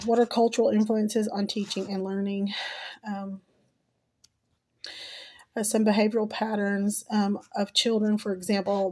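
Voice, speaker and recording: Sick middle-aged woman, voice going, talks flat and quiet, lots of ums and restarts. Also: medium pitch. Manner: halting, many ums and restarts. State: sick, losing her voice. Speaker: teacher and graduate student. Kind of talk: recorded class assignment answers.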